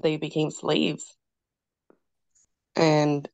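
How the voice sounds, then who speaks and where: A woman's voice: low voice, monotone, extremely slow, gravelly, a little mellow, dry recording, muffled recording. A woman in a reading group discussion, speaking reflectively and thoughtfully over a video call. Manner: reflective, thoughtful, with frequent fillers. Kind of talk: casual discussion.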